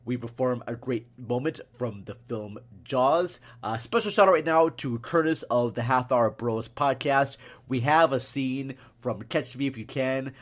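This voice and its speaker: Casual male podcast host, medium pitch. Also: a little slow, hardly any pauses.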